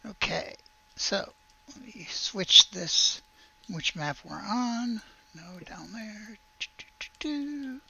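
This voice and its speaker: A woman's voice: medium pitch, animated, slow pace, vocal fry, long pauses, pushed and bright, breathy edge, lo-fi sound. A woman acting as game master, narrating a tabletop role-playing game in an easygoing, conversational way.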